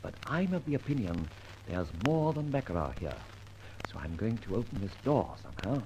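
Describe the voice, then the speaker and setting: An actor playing a jaunty, confident gentleman detective in an old-time radio serial, with theatrical, old-fashioned phrasing. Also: fairly low pitch, big pitch swings, moderate pace. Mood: intrigued, cautious. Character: suave, jaunty gentleman adventurer-detective, male, whimsical and confident. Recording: noisy old radio recording.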